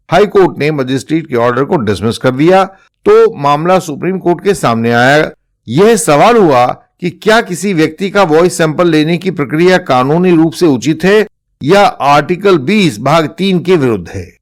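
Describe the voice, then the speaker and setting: Indian male legal explainer, slightly raised pitch, measured formal delivery. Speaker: presenter explaining court judgments.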